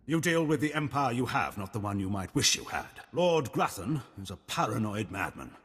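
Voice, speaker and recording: A man's voice: medium pitch, sing-song, moderate pace, fairly bright tone, slightly rough, in a large hall. A man playing a cold, haughty middle-aged imperial admiral in a video game, formal and condescending. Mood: grave.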